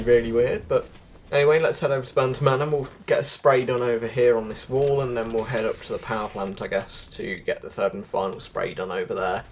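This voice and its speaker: A man hosting a gaming walkthrough in a casual, chatty way, with an average-pitched voice. He talks straight to his viewers as he guides them along, and there is faint noise behind him. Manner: chatty, addresses viewers as folks. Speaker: gaming video host. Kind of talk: casual game walkthrough commentary.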